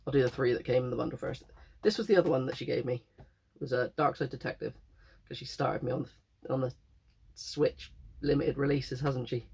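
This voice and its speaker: A man's voice: mid-range voice, fairly even intonation, a little fast, gravelly, a little mellow, breathy edge. A young man with a cold, chatting casually and rambling about his latest finds, often correcting himself. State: croaky with a cold. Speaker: game collector vlogger. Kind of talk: casual chat.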